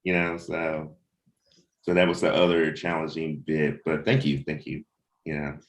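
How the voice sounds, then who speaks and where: A man's voice: rumbling low, completely flat delivery, normal speed. A man in a casual creative discussion as a writer and host, rambling and often saying "you know". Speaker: comic book writer hosting a table read discussion.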